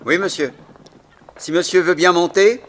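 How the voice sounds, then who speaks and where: High, bright-voiced coachman. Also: a little slow, slight background noise. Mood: obliging. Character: deferential male coachman, genial and folksy.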